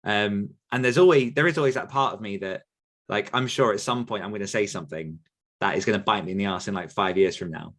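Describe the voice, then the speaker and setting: A man's voice: lowish voice, quick pace, fairly bright tone. A man, answering listener questions on a podcast in a rambling, self-correcting way. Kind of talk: casual Q&A chat.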